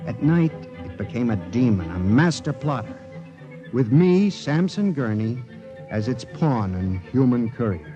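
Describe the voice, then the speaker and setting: A man's voice: medium pitch, big pitch swings, measured pace, music in the background. Frantic paranoid man, old 50s radio play, swings up and down a lot, muffled old recording. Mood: grim. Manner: narrates then pleads in rising panic. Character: frantic man haunted by machines. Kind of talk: 1950s radio drama acting. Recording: dull old radio broadcast.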